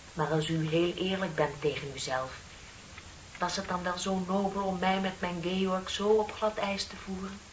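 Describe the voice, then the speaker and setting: A woman's voice: medium pitch, fairly bright tone, close to an everyday voice. An actress playing a chatty, dreamy younger female office clerk in a Dutch radio play, with a theatrical, wryly ironic way of talking. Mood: wry, confessing. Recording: dull, hissy old radio recording.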